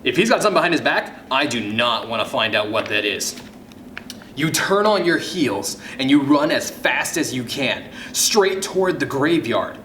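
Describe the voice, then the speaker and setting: A man reading a children's story aloud as host, with suspenseful pauses and hushed drops in his delivery. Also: medium pitch, very animated. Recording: roomy echo, faint background noise.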